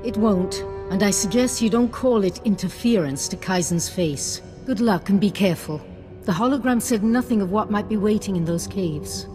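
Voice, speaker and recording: Actress doing a scholarly jedi master lady, earnest teacher type, mid pitch, soft music behind, game cutscene. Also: moderate pace, natural voice. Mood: calm. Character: scholarly, single-minded adult female Jedi master. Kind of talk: video game dialogue acting.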